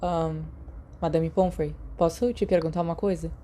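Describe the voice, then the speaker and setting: A Brazilian woman narrator voicing a polite, modest, hesitant teenage boy in an audiobook, soft-spoken and tentative. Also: low voice, fairly even intonation, moderate pace, close to an everyday voice. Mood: shy, earnest. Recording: clearly noisy background.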